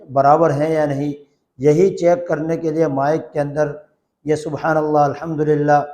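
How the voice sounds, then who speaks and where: A man speaking as an Islamic cleric, explaining a religious ruling in a formal, measured, didactic way and repeating points for emphasis. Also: fairly high pitch, darkish tone.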